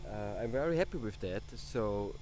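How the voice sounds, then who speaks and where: A man's voice: fairly low pitch, expressive, a little mellow. Man filming himself outdoors. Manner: halting, with frequent restarts and fillers. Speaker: drone racing hobbyist. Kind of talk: casual explanatory vlog. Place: outdoor airfield racetrack. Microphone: action camera held at arm's length. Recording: outdoor wind and vehicle noise.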